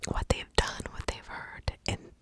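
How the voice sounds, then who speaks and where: A woman telling a true crime story in a hushed ASMR whisper, pausing deliberately between short phrases.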